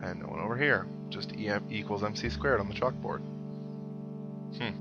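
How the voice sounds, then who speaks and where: A man's voice: lowish voice, moderate pace, muffled recording. A man giving casual, dryly joking commentary as he plays a video game and reads its text aloud. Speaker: host of a video game playthrough. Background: video game music.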